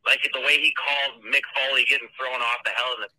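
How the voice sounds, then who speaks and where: A man rants about sports in a listener voicemail, with a very sharp, forceful voice. He is blunt, mocking and slangy, swearing casually. The phone recording is dry and close. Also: medium pitch, steady tone, fast talker, no room sound, low-quality recording.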